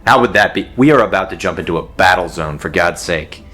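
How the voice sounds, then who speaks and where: A man's voice: sits low, animated, a little fast, a bit bright, soft background music. A man voicing a weary, dutiful male colonel and new wing commander in a fan audio drama, dry and wry.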